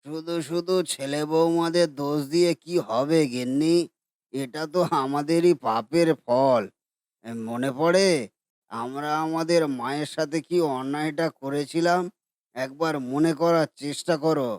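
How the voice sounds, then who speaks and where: A man's voice: on the high side, a little slow, a little mellow, clean tone, dry recording, clean studio sound, close to an everyday voice. A man voicing a weary old grandfather in a cartoon, speaking in a resigned, reflective way. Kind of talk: cartoon dubbing.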